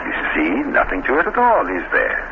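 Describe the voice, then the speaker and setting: A sinister prison guard in an old radio play. He is falsely soothing and deliberate, with a lowish, swooping voice. The old recording is very noisy. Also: wildly swooping pitch, gravelly, phone-quality sound, exaggerated voice. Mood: falsely soothing menace. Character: sinister, coolly menacing male prison guard relishing death. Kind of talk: 1940s radio acting.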